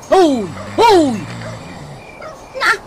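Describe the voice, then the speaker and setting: A woman's voice: very high-pitched, very animated, extremely slow, noisy background, exaggerated voice. A woman acting a nagging, exclamatory wife in an Ilocano Filipino radio comedy drama. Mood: exasperated shouting. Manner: scolding, exclamatory. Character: loud nagging wife scolding her sleepy husband.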